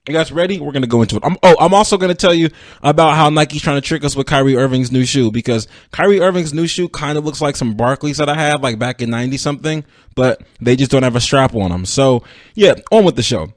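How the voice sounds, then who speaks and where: A man's voice: mid-range voice, expressive, fast, dry recording, clean studio sound. A young man hosting a casual solo sports podcast, rambling and self-deprecating as he talks straight to his listeners. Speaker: podcast host.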